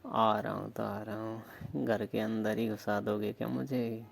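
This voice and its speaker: A man's voice: on the low side, little pitch movement, unhurried, creaky, full character voice. A man playing a teasing, affectionate young Rajasthani lover, talking intimately on the phone. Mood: teasing, doubtful. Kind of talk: intimate romantic phone conversation, acted as a prank call recording.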